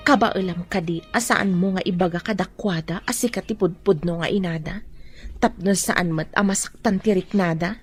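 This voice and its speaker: An actress playing a concerned, cautious, protective friend in an Ilocano radio drama, with a husky voice. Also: female voice, lowish voice, normal speed, faint music behind. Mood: cautious, earnest.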